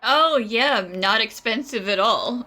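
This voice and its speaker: A woman's voice: on the high side, slow pace, sharp tone. A woman giving casual, playful, wisecracking commentary as she plays a video game. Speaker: gaming streamer. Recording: game music behind the voice.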